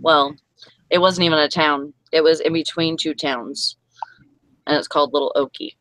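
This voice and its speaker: A chatty speaker tells a family story in a bright, sharp voice. The sound is dry and close. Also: mid-range voice, fairly even intonation, moderate pace, no room sound, rough recording. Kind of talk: casual group chat.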